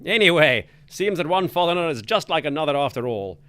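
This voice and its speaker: A man's voice: fairly high pitch, very animated, fairly quick, sharp tone, clean tone. A man hosting a spoof 1940s wireless hour, his patter jolly and mock-pompous. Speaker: radio show host. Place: studio.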